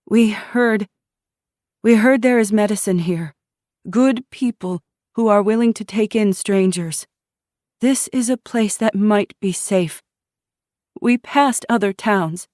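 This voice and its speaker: A woman's voice: mid-range voice, slow pace, long pauses, darkish tone, dry recording, clean studio sound, voice pushed up, lightly acted. A woman narrator voicing a pregnant refugee woman, a schoolteacher who pleads earnestly for shelter and speaks with a faint twang. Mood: pleading.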